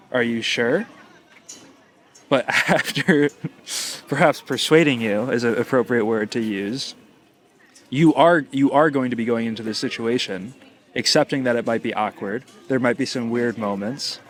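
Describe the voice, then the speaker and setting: A man co-hosting a casual podcast, mid-pitched and conversational. He thinks aloud in long, looping sentences and teases his partner now and then. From United States. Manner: teasing, thoughtful, speaks in long winding sentences. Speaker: podcast co-host.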